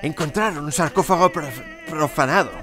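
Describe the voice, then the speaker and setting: An actor playing a drunk, feverishly obsessed archaeologist in an audio drama, erratic and insistent. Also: male voice, a little high, moderate pace, music in the background, lightly acted. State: acting drunk.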